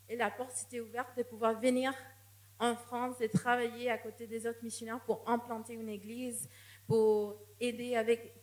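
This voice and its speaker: A woman preaches in a church, and her voice sits a little high. She speaks in an earnest, personal tone and often restarts a phrase before going on. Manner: earnest, testimonial, with frequent restarts. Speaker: guest preacher. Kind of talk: sermon.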